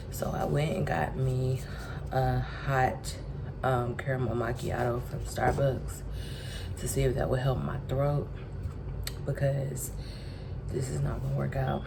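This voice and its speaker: A woman in her twenties, from Texas, sick and congested with a raspy voice, giving a casual vlog update to her phone. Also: rumbling low, steady tone, takes it slowly, long pauses, noisy background. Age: 20s. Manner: chatty, addresses viewers directly. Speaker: vlogger. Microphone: phone camera.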